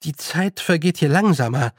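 A man's voice: a little high, expressive, normal speed, no echo, pitched above the performer's own voice. A man voicing a young girl in an audiobook, determined and guarded, with an insistent, dry manner. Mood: careful, dry. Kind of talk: audiobook character voice.

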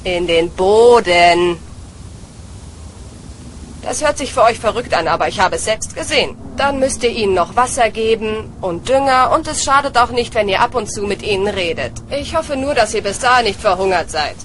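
A woman voicing a clever, unimpressed teenage girl in an animated comedy dub, with a dry, sarcastic, deadpan manner. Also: mid-range voice, moderate pace, slight background noise, close to an everyday voice, poor audio. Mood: sardonic.

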